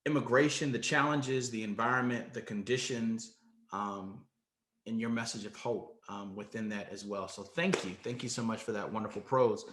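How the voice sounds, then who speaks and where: A man hosting a live reading event, speaking haltingly and filling his pauses with many ums. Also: lowish voice, steady tone, a bit bright, echoey room. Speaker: emcee.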